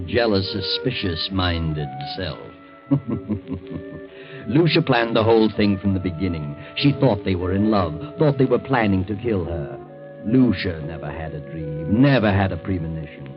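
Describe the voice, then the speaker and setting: An actor playing a sinister, gloating male narrator of crime tales in a 1940s radio mystery, ominous and mocking. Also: low-pitched. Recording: muffled 1940s radio recording, loud music.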